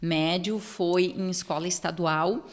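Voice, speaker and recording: Podcast co-host, middle-aged woman, first language German, southern Brazilian accent, lowish voice, reverberant hall. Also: steady tone, unhurried. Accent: southern Brazilian Portuguese with German roots. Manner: storytelling, reflective, warm affirmations. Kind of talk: casual podcast conversation.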